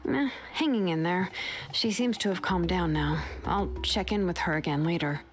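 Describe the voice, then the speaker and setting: A fairly low female voice for a thoughtful, easygoing fantasy game character, acted by a woman. She speaks hesitantly and reflectively, with music clearly audible underneath. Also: normal speed, lightly acted. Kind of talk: video game voice acting.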